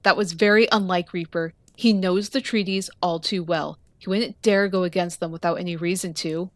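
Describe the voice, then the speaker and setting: A woman plays a dutiful, wary monster hunter in a fantasy audio drama. Her voice is slightly low and recorded fairly dry, guarded yet respectful. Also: fairly even intonation, normal speed, no room sound, close to an everyday voice. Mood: wary, questioning.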